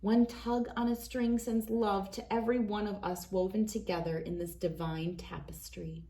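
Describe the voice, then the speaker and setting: A woman's voice: mid-range voice, level tone, normal speed, mellow. Woman reading a children's story aloud. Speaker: read-aloud host. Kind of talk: children's picture book read-aloud.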